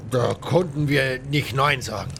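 A man voicing a rough, chatty pirate in a game audio drama, rambling in coarse colloquial speech with grudges. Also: unhurried, a bit bright. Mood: gruff, aggrieved. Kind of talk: acted game dialogue, colloquial storytelling. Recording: faint rumbling background noise.

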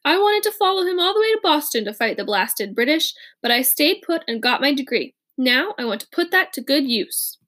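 A woman voicing an eager, intense young male inventor in an audiobook, earnest and quick to rally. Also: high-pitched, big pitch swings, fairly bright tone. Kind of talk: audiobook character reading.

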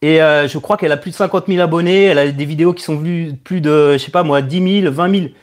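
Man, late thirties, fairly high voice, casual live Q&A host, halting delivery. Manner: halting, hesitant, repeats words as he thinks. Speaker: host of a live Q&A stream, online video creator. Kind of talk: casual live chat answering viewers' questions.

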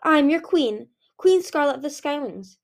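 A woman's voice: high voice, expressive, measured pace, vocal fry, pitched above the performer's own voice, full character voice. A woman voicing a cold, vengeful female dragon queen who speaks in a hissing, commanding way.

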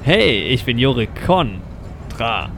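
A man's voice: mid-range voice, sing-song, slow, a bit bright, a little hiss or hum, full character voice. A man playing a scheming villain double in a comic audio play, reading out his lines in a stilted way. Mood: stilted, pompous.

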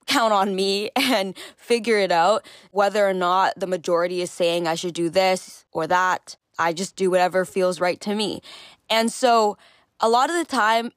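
A woman in her twenties hosting her own podcast, talking through her thoughts in a chatty, reflective way and repeating phrases for emphasis, her voice slightly hoarse. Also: mid-range voice, dry recording, clean studio sound. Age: 20s. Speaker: podcast host. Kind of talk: solo casual podcast monologue.

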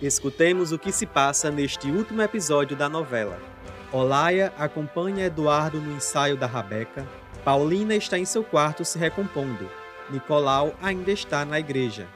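A man with a fairly high voice narrates a radio soap opera from a script. Music plays clearly under his recap-style delivery. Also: lively intonation, normal speed.

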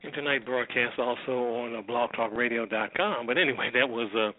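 A man casually hosting online radio with a halting, offhand delivery. His medium-pitched voice comes through a thin phone-like connection with faint noise. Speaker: internet radio show host.

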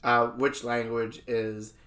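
A man with a medium-pitched voice talks as a podcast host. He explains things in a casual, conversational style and often repeats a phrase to underline it. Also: completely flat delivery, slow, fairly bright tone. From born in a country outside Israel.

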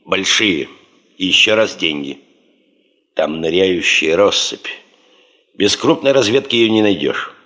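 A man's voice: deep voice, expressive, measured pace, long pauses, pushed and bright, heavily acted. An actor in a Soviet radio play as a driven, stubborn male geologist chief, terse, insistent and commanding.

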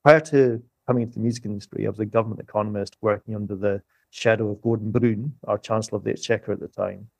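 A British man with a low, creaky voice speaks as an economist in a podcast interview. He sets out his points in an orderly, emphatic way, with rhetorical flourishes. The sound is close and dry. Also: fairly even intonation, fast talker, darkish tone, no echo. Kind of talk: podcast interview, explanatory argument.

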